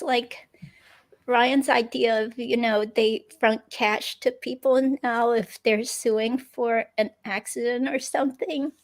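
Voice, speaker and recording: A woman reading the part of a chatty, curious ex-girlfriend in a police interview, in casual, hesitant phrasing. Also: a little high.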